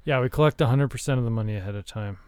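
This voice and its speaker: Guy podcast host, super earnest deadpan bit, halting delivery, clean smooth voice, dry close sound. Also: medium pitch, no echo. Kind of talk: deadpan comedic screenwriting talk.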